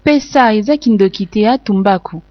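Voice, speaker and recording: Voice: medium pitch, normal speed, natural voice. A performer voicing a quarrelsome, suspicious cartoon character who scolds and argues. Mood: argumentative. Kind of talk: animated comedy dialogue. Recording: dull lo-fi cartoon soundtrack.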